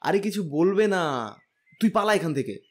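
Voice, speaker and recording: A man's voice: high-pitched, lively intonation, normal speed. A man playing a lovestruck, cheeky young husband in an audio drama, stubborn and playful, with a pleading streak. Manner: pleading, playful, whiny with elders. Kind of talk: Bengali audio drama acting.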